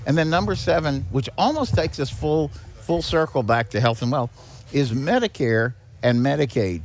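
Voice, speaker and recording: A middle-aged man speaks at an average pitch as an interview guest. He explains things in a plain, instructive and conversational manner. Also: moderate pace, faint music behind. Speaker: guest, senior charity executive director. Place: on location at a garage.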